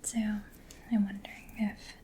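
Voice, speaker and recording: A soft-spoken woman in a breathy whisper, gently and reassuringly playing a caring mechanic in an ASMR roleplay. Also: mid-range voice, little pitch movement, takes it slowly. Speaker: ASMR performer.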